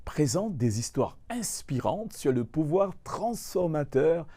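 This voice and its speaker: A male narrator reads the news with plenty of movement in his pitch. His tone is mellow rather than bright. The delivery is polished and scripted. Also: big pitch swings, slow pace, husky.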